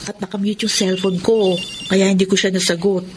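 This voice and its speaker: A woman's voice: mid-range voice, little pitch movement, normal speed, background noise, lightly acted. An actress playing a guilt-ridden young female student with a secret affair in a Tagalog radio drama. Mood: secretive, teasing. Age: young adult.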